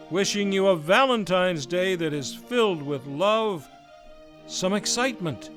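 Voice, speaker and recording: A man with a fairly high voice announces as host of an old-time radio show. His scripted delivery is formal, measured and theatrical, with music playing clearly behind him. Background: live improvised music.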